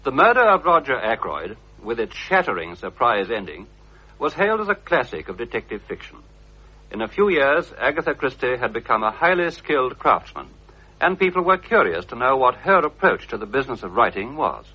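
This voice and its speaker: A man reading scripted documentary narration in a measured, formal storytelling manner, from a dull old radio broadcast. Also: medium pitch, very animated, slightly rough.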